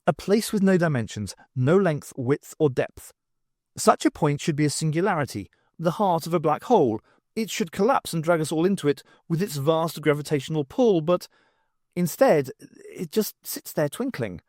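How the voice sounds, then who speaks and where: A man voicing an excitable, exasperated physics professor in an audiobook, lecturing emphatically. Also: fairly high pitch, moderate pace, dry recording, clean studio sound, close to an everyday voice. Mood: fascinated. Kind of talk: audiobook character dialogue.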